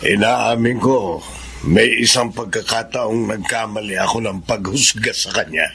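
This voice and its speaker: Humble low-voiced older Filipino father. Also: a little slow, background noise. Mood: reflective, remorseful. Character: older father, humbly admitting his mistake. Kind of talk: Filipino radio drama acting.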